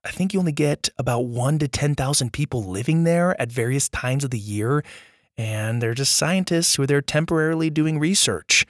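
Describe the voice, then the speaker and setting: A man's voice: fairly high pitch, normal speed, no echo, clean studio sound. A man hosting a children's podcast, chatting casually and enthusiastically to young listeners in a voice strained from nearly being lost. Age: adult, father of young children. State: strained voice, recovering from nearly losing it. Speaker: host and storyteller of a children's podcast.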